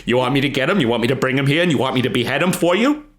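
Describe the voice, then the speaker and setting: A man's voice: medium pitch, expressive, rapid-fire, fairly bright tone, lightly acted. A man playing a crude, vengeful jilted man who narrates his own tale melodramatically in a parody radio drama. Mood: menacing, boastful. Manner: profane, melodramatic. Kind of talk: improvised parody of old time radio drama.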